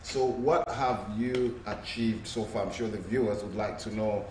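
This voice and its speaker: Male interviewer, low voice, roomy far-off sound. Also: fairly even intonation, normal speed, a little mellow. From Zambia. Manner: rambling, filler-heavy questions. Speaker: TV show host. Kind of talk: interview questions. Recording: roomy, distant mic, faint noise.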